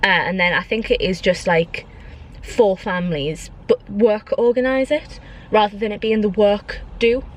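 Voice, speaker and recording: A woman's voice: medium pitch, moderate pace, a little hiss or hum. A woman vlogging in a casual, opinionated way, repeating points for emphasis. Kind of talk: casual vlog chat. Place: inside a car.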